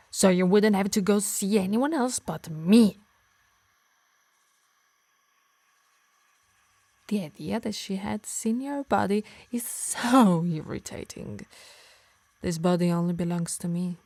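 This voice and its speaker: Girl doing a yandere harpy asmr thing, italian accent, breathy n whispery, clingy and threatening, super dry close mic. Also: mid-range voice, no echo. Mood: gloating, obsessive. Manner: soft and breathy, slipping into whispers, slow menacing emphasis. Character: possessive yandere harpy woman, tender yet menacing, obsessed. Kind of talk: intimate ASMR roleplay acting.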